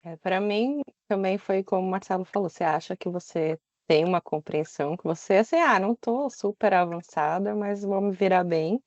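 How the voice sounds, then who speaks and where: Mellow Brazilian woman, dry slightly dull audio. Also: mid-range voice, moderate pace, hardly any pauses, no echo. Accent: Brazilian Portuguese. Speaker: podcast guest living abroad. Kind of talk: casual conversational storytelling.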